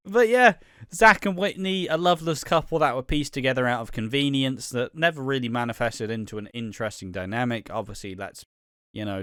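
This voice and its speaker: Man from England near the Welsh border, relaxed podcast co-host who laughs often, mid-pitched, recorded dry. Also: no echo. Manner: laid-back, laughs often, trails off and restarts. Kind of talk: casual podcast chat.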